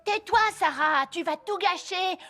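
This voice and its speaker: A woman's voice: very high voice, a little slow, a bit bright, faint music behind. A woman voicing an eager, bossy young boy in a cartoon. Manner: dramatic, show-off.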